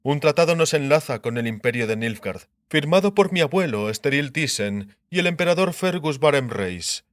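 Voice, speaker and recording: A man's voice: a little high, animated, normal speed, hardly any pauses, dry recording, clean studio sound, natural voice. An actor playing a shrewd, self-assured king in an audiobook drama, pompous and drily sardonic. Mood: dry, formal.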